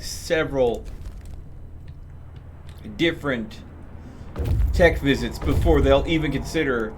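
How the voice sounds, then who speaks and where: A man talking casually as he streams a game, commenting on his play and explaining things step by step in a rambling way. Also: medium pitch. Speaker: live streamer. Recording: clear background noise.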